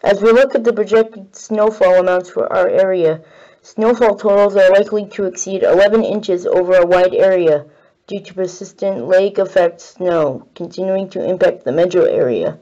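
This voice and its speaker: A woman's voice: medium pitch, moderate pace, dark tone, dull audio. A woman delivering a scripted weather forecast as a broadcast forecaster.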